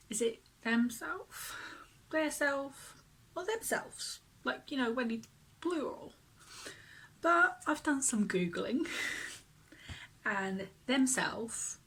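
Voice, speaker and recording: Non-native speaker, Finnish first language, young adult; slow, breathy, hushed open-mic reader. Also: female voice, fairly high pitch, rough recording. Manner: soft-spoken, often half-whispered, informal with swearing. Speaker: zine maker reading their work. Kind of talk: casual reading at a virtual open mic.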